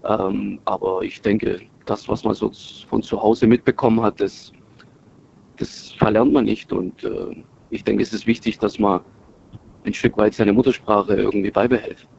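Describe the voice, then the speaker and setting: A man's voice: lowish voice, fairly even intonation, moderate pace, vocal fry, darkish tone, a little hiss or hum. A middle-aged man, a native Italian speaker raised in Germany, calls in to a radio show and talks hesitantly with many fillers and restarts. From Italy, moved to Germany at six. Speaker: radio caller. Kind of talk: casual phone-in chat. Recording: muffled phone-in call on speakerphone.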